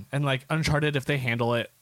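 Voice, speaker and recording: A man's voice: mid-range voice, steady tone, moderate pace, fairly bright tone, no echo, poor audio. A man co-hosting a podcast in casual chat about films and games, questioning and debating points. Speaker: podcast co-host.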